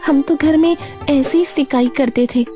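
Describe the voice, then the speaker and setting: A woman plays an obliging young bride in a cartoon. Her high voice is polite and gentle, with clearly audible music behind. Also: a little slow. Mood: meek, obliging. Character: gentle, obliging young new daughter-in-law.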